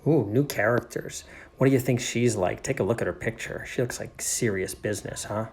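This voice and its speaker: A man's voice: fairly low pitch, a little fast, a little mellow, a little hiss or hum. A middle-aged man, reading aloud to children as a teacher, with playful, jokey casual asides.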